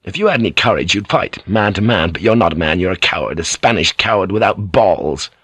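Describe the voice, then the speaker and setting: Male narrator as cornered, defiant English sailor in an adventure audiobook: intense, terse, lowish register, dry sound. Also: animated, brisk, fairly bright tone, husky, dry recording, exaggerated voice. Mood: taunting. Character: beleaguered, defiant English seaman, proud fighting man.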